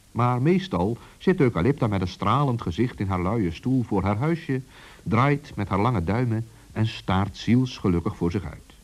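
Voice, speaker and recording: Husky, mellow, animated male storyteller. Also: mid-range voice. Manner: expressive storytelling. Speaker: narrator. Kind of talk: scripted children's story narration.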